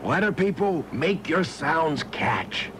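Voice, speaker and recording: A man's voice: a little high, sing-song, slow, noisy background. A man playing a zany, theatrical joker who announces like a game-show host on a children's educational puppet show. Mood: playful.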